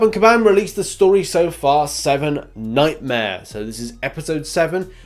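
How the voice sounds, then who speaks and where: A man with a slightly high-pitched voice delivers gaming news as a host. He talks in a chatty, informal way and leans into his headlines with emphasis. The delivery is casual commentary aimed straight at viewers. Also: a little mellow.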